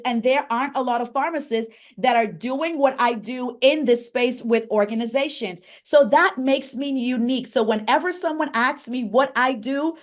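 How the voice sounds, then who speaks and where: A woman from the Bahamas, a pharmacist and coach, leading an interactive online workshop. She asks the audience questions and reads out names from the chat. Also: a little high.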